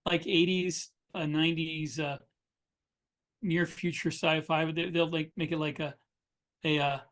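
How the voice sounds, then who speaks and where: A man's voice: on the high side, flat delivery, unhurried, a bit bright. A man co-hosting a podcast as a writer, bantering casually with a wry, jokey way of talking. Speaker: podcast co-host and science fiction writer.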